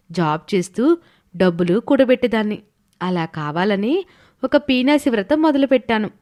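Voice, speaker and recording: A woman's voice: medium pitch, a little mellow, lightly acted. A woman playing a stubborn, thrifty young village wife who explains herself earnestly in a moral story cartoon. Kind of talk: animated moral story voice acting.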